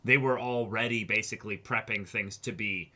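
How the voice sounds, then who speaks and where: A man's voice: fairly low pitch, fairly even intonation, normal speed, pushed and bright. A man co-hosting a reaction show, talking through his analysis casually, wordy and emphatic as he thinks aloud. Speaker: co-host of an anime reaction show.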